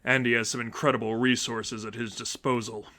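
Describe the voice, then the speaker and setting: A man voicing a stern, brooding masked vigilante mentor, speaking in a grave, terse, low-key way in a story reading. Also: flat delivery, fairly quick, sharp tone, lightly acted.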